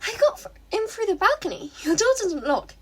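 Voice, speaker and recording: A woman voicing a bubbly, wistful teenage girl villain in a girlish, excitable way for a fan fiction reading. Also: very high voice, brisk, voice pushed up. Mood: breezy, excited.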